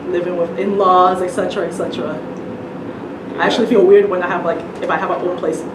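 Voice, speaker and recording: A woman author from Canada, whose first language is Cantonese, answering interview questions in a soft-spoken, unhurried way with many restarts. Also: fairly low pitch, steady tone. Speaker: guest author. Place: studio. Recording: roomy, distant mic, loud noise.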